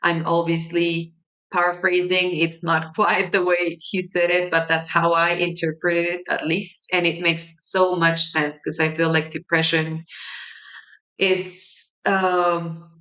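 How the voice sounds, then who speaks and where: A young woman from Mexico, a native Spanish speaker with a Mexican Spanish accent, hosting her podcast in a gentle, reflective monologue with soft pauses. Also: on the low side, level tone, low-quality recording. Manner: gentle, warm-hearted, unhurried with soft pauses. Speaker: podcast host.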